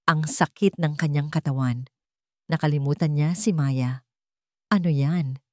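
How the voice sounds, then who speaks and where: A woman's voice: low-pitched, darkish tone, no room sound, clean studio sound. A woman reading a scripted dramatic story as its narrator.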